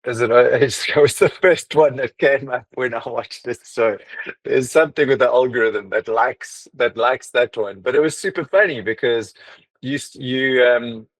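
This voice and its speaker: A man's voice: mid-range voice, moderate pace, no echo. Chatty interviewer man, dry sound. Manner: chatty, hesitant, many restarts and fillers. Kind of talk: casual interview talk.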